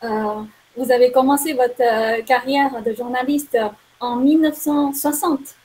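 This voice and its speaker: A woman's voice: high voice, steady tone, unhurried, darkish tone, rough recording. A young woman hosting an interview over a video call, asking her questions hesitantly with many fillers. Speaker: host. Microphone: video call webcam.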